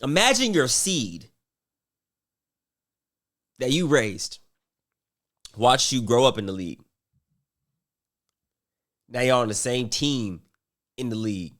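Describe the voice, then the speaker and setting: A man's voice: medium pitch, animated, takes it slowly, long pauses, fairly bright tone. A man commentating casually on basketball, rambling with fillers and restarts while getting over a cold.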